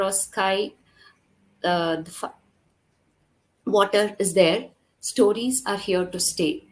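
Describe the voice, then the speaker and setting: A woman from Kerala whose first language is Tamil, talking as a storyteller guest, explaining in a measured way with frequent fillers. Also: medium pitch, poor audio. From Kerala, India. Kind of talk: conversational interview and storytelling.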